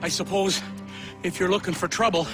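An actor playing a gruff, blunt older innkeeper in a video game. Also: male voice, high voice, steady tone, fairly quick, close to an everyday voice. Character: gruff old male Irish innkeeper, blunt. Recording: game audio with music behind.

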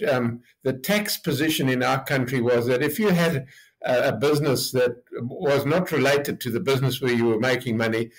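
A man in his late seventies from Zimbabwe, a guest telling his life story in a rambling, relaxed way. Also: medium pitch, low-quality recording. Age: 70s. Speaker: hotel group chairman, guest. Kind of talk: interview storytelling.

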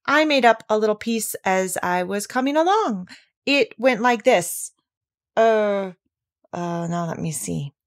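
A woman voicing a good-natured, slow-witted male bear in a storybook, slow and earnest in manner. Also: mid-range voice, expressive, natural voice. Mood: earnest, fumbling.

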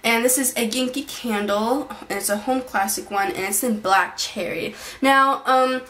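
A woman's voice: on the high side, normal speed, a bit bright. A young woman talking casually in a beauty vlog, chatty and rambling, with lots of fillers and restarts, recorded on a camera mic in a small bedroom. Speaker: beauty vlogger. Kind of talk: casual monthly favorites vlog. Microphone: built-in camera mic at desk distance.

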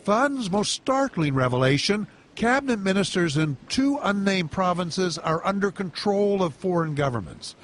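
An older male correspondent narrates a scripted news segment with a noticeably high voice. A faint hum sits in the background. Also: lively intonation, moderate pace, fairly bright tone.

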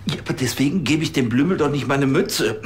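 An actor playing a self-important, indignant former police councillor who stammers in a TV crime comedy. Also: male voice, on the high side, expressive, normal speed, slight background noise. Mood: flustered indignant.

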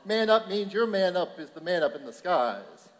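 A man's voice: high-pitched, sing-song, moderate pace. A man reciting rhythmic slam poetry as a preacher-poet, punchy, emphatic and declamatory. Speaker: reverend performing slam poetry. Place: large hall at a youth conference. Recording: reverberant large hall.

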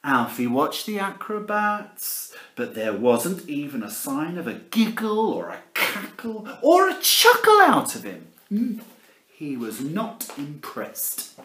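Very animated man storytelling, slow-paced. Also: on the high side. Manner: theatrical, playful, addresses children directly. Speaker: primary school teacher reading aloud. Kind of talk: animated children's picture-book storytelling. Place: living room at home. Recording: roomy home camera recording.

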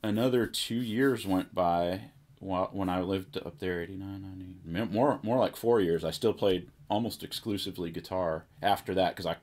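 A man's voice: low-pitched, normal speed, darkish tone. A middle-aged man telling stories from his musician past in a casual, chatty way. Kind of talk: casual storytelling chat. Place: small indoor music studio, seated at a table.

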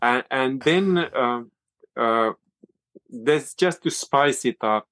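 A man with a Finland-Swedish accent and Swedish as his first language, a yoga and cold-water teacher talking as an interview guest, halting and restarting often. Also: medium pitch, a bit bright, no room sound. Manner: halting, many restarts and fillers. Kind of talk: casual interview talk.

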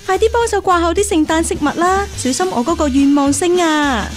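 A woman with a Hong Kong Cantonese accent playing a brisk, nagging, affectionate pig mother in a radio drama. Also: very high voice, faint music behind.

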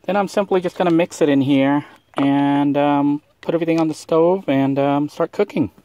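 A man's voice: fairly high pitch, moderate pace, dry recording. Easygoing man rambling outdoors. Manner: relaxed, rambling with many fillers. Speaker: vlogger. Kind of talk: casual how-to narration while cooking. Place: outdoors by a van.

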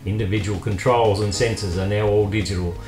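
A man's voice: on the low side, steady tone, fairly quick, a little mellow. A man reading a scripted voice-over as the narrator of a vehicle review. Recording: roomy voice-over with music. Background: guitar music.

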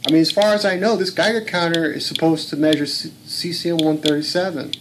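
A man's voice: fairly high pitch, fairly even intonation, fast talker. Man, casual home vlogger, insistent and blunt, noisy phone audio. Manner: earnest, blunt, swears, speaks up insistently. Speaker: home video blogger. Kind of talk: casual filmed commentary. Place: home room.

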